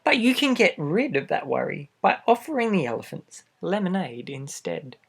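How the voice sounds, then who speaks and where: A man reads a picture book aloud to young children in a gentle, expressive way, adding little asides straight to the listener. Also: high-pitched, moderate pace, creaky, husky. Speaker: storybook reader for children.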